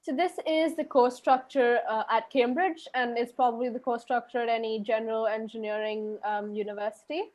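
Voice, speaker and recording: A woman's voice: on the high side, steady tone, a little fast, few pauses, darkish tone. Young Indian woman presenting in a webinar. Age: young adult. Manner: hesitant, many fillers. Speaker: student panelist.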